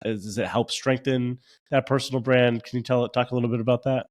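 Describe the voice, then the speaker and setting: A man's voice: mid-range voice, steady tone, fairly quick. A man co-hosting a podcast in casual conversation. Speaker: podcast co-host.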